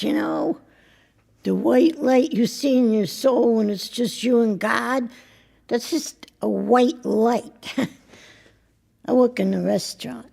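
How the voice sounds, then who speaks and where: Radio play role: blunt, wry older wife, heavy creak, slow halting delivery. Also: female voice, on the high side, warm tone, full character voice. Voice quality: husky, creaky. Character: blunt, worldly married woman who works in a restaurant. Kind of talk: intimate two-person audio drama acting.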